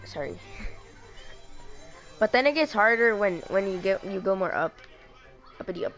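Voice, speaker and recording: A woman's voice: mid-range voice, animated, normal speed, a bit bright, soft background music. A young woman chatting casually and teasing a friend while playing a game, laughing often. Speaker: gamer streaming with a friend. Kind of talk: casual gameplay chat.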